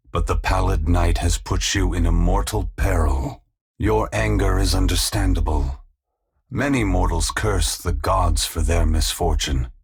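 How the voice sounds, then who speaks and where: Ancient game god, very low, heavily creaky and rasping, solemn, gentle, remorseful, philosophical. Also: male voice, full character voice. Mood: grave, calm. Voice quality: deep, gravelly, husky. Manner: slow, measured, grave and philosophical. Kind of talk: scripted video game character dialogue.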